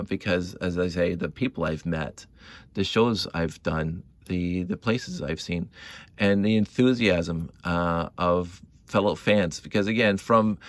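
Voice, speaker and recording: A middle-aged man telling nostalgic stories casually, rambling and halting, repeating words and often given to chuckling. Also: sits low, fairly bright tone, no echo. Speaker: hobby video creator. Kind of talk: casual rambling storytelling to camera.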